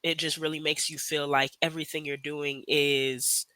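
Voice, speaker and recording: A woman's voice: low-pitched, flat delivery, normal speed, bright, forceful tone, no room sound. A woman in her twenties, a podcast guest, speaking reflectively in a casual interview, hedging often with fillers as she thinks aloud. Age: 20s.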